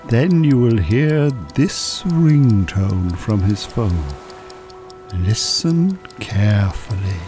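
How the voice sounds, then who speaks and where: A calculating Irish hypnotist con man speaks in a lowish voice. He is very unhurried, soothing yet commanding, as in a radio play with music underneath. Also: very animated, exaggerated voice. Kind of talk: audio drama acting, hypnotic patter.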